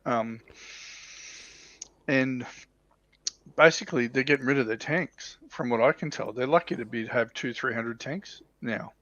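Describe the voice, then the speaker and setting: A man co-hosting a livestream, musing tentatively and haltingly in casual hobby talk, with slightly muffled audio. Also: mid-range voice, fairly bright tone, dry recording. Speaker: livestream co-host.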